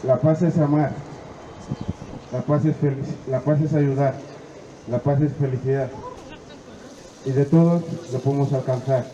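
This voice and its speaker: Slow man reading in a hall. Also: medium pitch, fairly even intonation. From Senegal. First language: Wolof. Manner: slow, hesitant reading with fillers. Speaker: adult student reading poetry. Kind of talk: poetry reading. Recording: echoey hall, dull, noisy.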